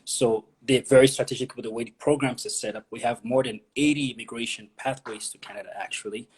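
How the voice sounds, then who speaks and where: A man's voice: medium pitch, fairly even intonation, fast talker, breathy edge, rough recording. A man from Nigeria, an immigration consultant, explaining pathways as an interview guest, often repeating words as he thinks.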